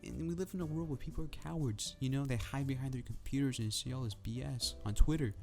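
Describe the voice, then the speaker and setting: A man ranting casually to camera about football in a soft, calm, drowsy way. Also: mid-range voice, fast, vocal fry, soft background music. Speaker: football vlogger.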